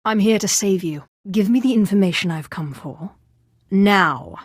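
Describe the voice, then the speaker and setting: An actress voicing a haughty, sardonic female Sith sorceress villain for a video game, with deliberate, theatrical delivery. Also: medium pitch, expressive, no room sound, studio quality, natural voice. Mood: businesslike, commanding. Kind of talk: scripted video game character lines.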